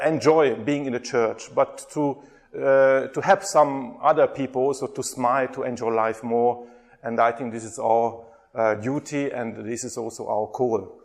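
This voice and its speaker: A middle-aged man with a Hungarian accent giving a workshop talk, hesitant and chatty, putting questions to the audience. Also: mid-range voice. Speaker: workshop presenter, theology lecturer.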